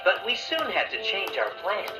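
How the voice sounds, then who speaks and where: A man's voice: high-pitched, wildly swooping pitch, normal speed. A man playing a friendly, polite young adventurer who narrates a scripted children's story. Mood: warm storytelling. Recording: roomy, distant toy playback with music.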